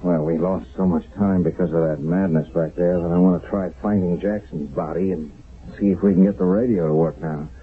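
A troubled wartime American sergeant, played by a man, speaks low and wearily in the manner of seventies radio drama. The sound is muffled and narrow, like an old broadcast. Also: fairly even intonation, a little fast, close to an everyday voice. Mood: grim, troubled. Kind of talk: 1970s radio drama acting.

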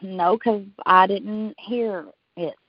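A woman's voice: mid-range voice, steady tone, slow, no room sound. A drowsy-sounding woman in casual banter. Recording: dry, dull lo-fi audio.

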